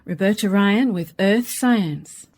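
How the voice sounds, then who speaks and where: Mid-pitched woman radio announcer. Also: takes it slowly, a little mellow. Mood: formal, welcoming. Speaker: continuity announcer. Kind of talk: scripted broadcast introduction in radio play.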